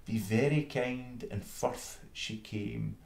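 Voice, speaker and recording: A Scottish man reading an old fable in verse with measured, dramatic storytelling. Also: mid-range voice, fairly even intonation, creaky, darkish tone. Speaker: reader. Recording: roomy, echoing space.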